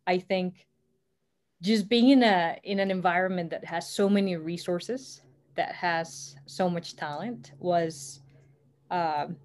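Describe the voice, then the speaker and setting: A young woman from Costa Rica, answering interview questions thoughtfully, with frequent pauses and restarts. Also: on the low side, darkish tone, slight background noise. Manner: thoughtful, many fillers and restarts. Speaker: guest.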